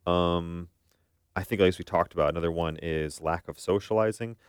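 A man's voice: low voice, moderate pace, clear, resonant tone, no echo, studio quality. A man hosting a podcast in a casual conversational style, thinking aloud and often checking for agreement. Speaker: podcast host.

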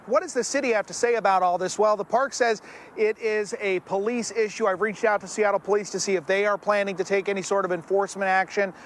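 A man delivering a scripted news report in a measured way. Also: high voice, fairly even intonation, fairly bright tone, a little hiss or hum.

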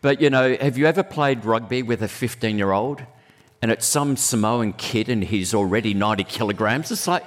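A man preaching a sermon in a conversational, animated way, breaking into sudden high exclamations. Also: normal speed.

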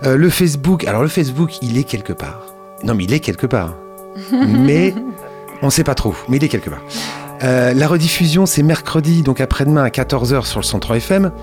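A man's voice: mid-range voice, very animated, brisk, darkish tone, faint music behind. A man hosting a radio show, talking in a loose, rambling way with many fillers and restarts. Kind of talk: casual radio chat.

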